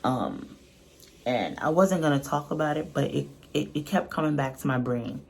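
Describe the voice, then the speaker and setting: A woman's voice: deep voice, lively intonation, moderate pace, vocal fry, a little mellow, a little hiss or hum. A woman giving casual, unscripted reaction commentary in a blunt, colloquial, earnest way. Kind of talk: casual, unscripted opinion talk.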